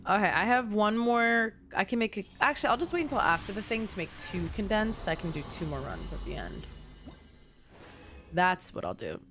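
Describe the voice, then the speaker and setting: A woman's voice: mid-range voice, very animated, moderate pace, a bit bright, soft background music. A young woman chatting casually as she streams a game, rambling through conversational asides. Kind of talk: casual chatty livestream gaming commentary. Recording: clear background noise.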